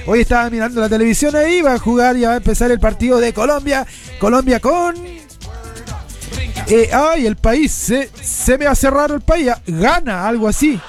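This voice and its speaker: A man's voice: very high-pitched, moderate pace, faint music behind. A man with a Chilean accent hosting a live radio show with chatty, sing-song patter, his nose stuffed up from a cold. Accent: Chilean Spanish. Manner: chatty, sing-song, upbeat, with frequent tag questions.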